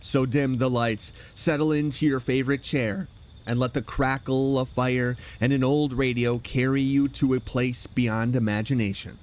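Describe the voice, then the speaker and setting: A man hosting a horror radio program, giving a scripted, atmospheric campfire-style introduction with measured storyteller phrasing. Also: medium pitch, fairly even intonation. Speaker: host introducing vintage horror dramas.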